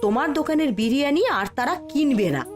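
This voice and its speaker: A woman's voice: high voice, expressive, fast talker, over music. A woman playing a stern wife in a cartoon story, nagging and reproachful as she lectures her husband. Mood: scolding, warning. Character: stern, scolding wife of a proud shopkeeper.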